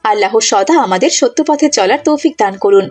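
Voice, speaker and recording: A woman's voice: medium pitch, animated, quick pace, a little mellow. A woman narrator reading a scripted moral story for children.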